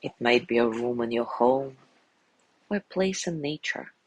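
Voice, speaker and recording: A man guiding a sleep meditation in a gentle, soothing way, softly spoken and unhurried, with long pauses between phrases. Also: mid-range voice, expressive, poor audio. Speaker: meditation guide.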